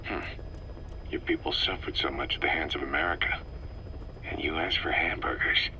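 A wry, businesslike military man from a video game, with an exasperated edge. His voice is low and very forceful, his phrasing casual, over faint background noise. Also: moderate pace, exaggerated voice, rough recording. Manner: casual, wry, hesitant asides. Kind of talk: scripted video game drama.

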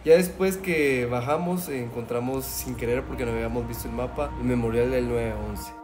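A man casually narrates his travel vlog in a mid-range voice, pausing often with fillers as he explains practical tips. Loud music plays underneath him the whole time. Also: medium pitch, steady tone. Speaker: vlogger narrating a road trip.

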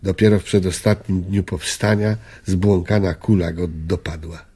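An actor playing a weary, melancholy old man, speaking reflectively and in measured phrases in a radio drama. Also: deep voice, little pitch movement, mellow, husky. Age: older. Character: weary, melancholy old widower haunted by wartime memories.

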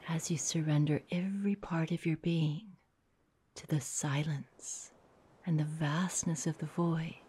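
Female meditation guide: low, very breathy, soothing, slow delivery with long pauses. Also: steady tone. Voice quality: breathy, soft, half-whispered. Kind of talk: guided meditation, soft hypnotic narration.

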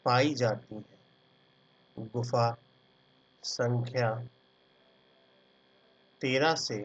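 A man teaching an art exam class, dictating notes word by word and then explaining pictures in a casual way. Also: mid-range voice. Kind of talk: slow dictated lecture over handwritten notes. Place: at a computer, screen recording. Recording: dull lo-fi sound with mains hum. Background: faint electrical hum.

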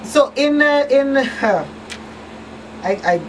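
A woman's voice: sits high, animated, slow. A middle-aged woman hosting a radio call-in show in a casual, informal way, thinking aloud as she asks questions. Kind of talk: casual, conversational interviewing. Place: small radio studio. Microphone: studio mic on boom arm. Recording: slightly distant mic, faint noise.

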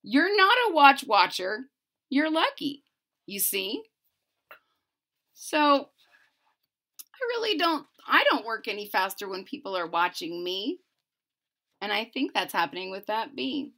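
A woman's voice: mid-range voice, very animated, takes it slowly, pauses often, bright, forceful tone. A woman in her forties reading a children's picture book aloud with emphatic, sing-song delivery and casual asides. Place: small furnished room at home.

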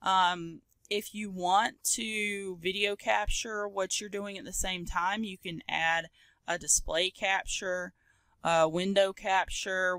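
A woman hosting a casual software tutorial, explaining step by step in an informal, conversational way. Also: lowish voice, dry recording. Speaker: tutorial host.

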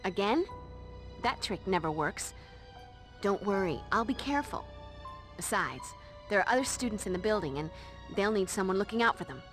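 A woman plays a teenage girl heroine in a video game, brave and breezy. Her delivery is flip and quippy, at an average woman's pitch, over background music. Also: lively intonation, moderate pace, pauses often, natural voice. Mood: breezy, confident.

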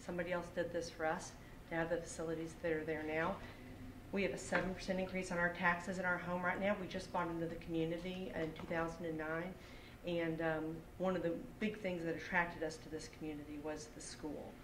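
A woman's voice: lowish voice, level tone, brisk. Earnest Texan woman at a podium. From Texas. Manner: earnest, pleading, emphatic repetition. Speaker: parent speaking at public comment. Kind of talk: public comment at a school board meeting. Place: school library meeting room. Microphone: podium microphone, picked up from a distance. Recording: echoey room, distant mic, audible background hiss.

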